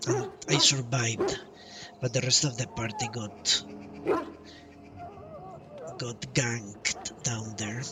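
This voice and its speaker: A man giving casual live gameplay commentary as a streamer, halting and musing as he goes. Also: mid-range voice, huge pitch swings. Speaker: game streamer. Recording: loud game music, distant-sounding voice. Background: game music.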